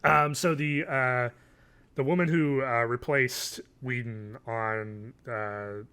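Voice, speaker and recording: A man's voice: mid-range voice, fairly even intonation, slow pace, pushed and bright, clear, resonant tone. A man in his thirties, a podcast co-host chatting and joking casually about film and TV, with some swearing, close on a mic at home. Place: small home room, remote call.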